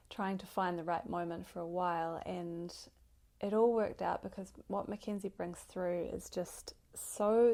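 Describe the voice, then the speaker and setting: A woman hosting a podcast, speaking alone in a grateful, gushing way with fillers and soft laughs. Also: on the low side, creaky. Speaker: podcast host. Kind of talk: solo podcast introduction.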